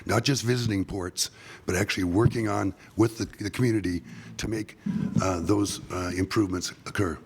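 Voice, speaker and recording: An older man running for office, giving a halting forum statement, his voice scratchy from a cold. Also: lowish voice, steady tone, creaky, husky. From Seattle. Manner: halting, many ums and uhs. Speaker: political candidate.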